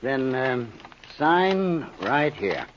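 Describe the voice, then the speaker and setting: An actor playing a sly, needling hustler with an unhurried, falsely friendly manner in a 1950s radio drama. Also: male voice, medium pitch, very animated, slight background noise. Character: sly, smooth-talking, needling male hustler, an old buddy. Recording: muffled old radio recording.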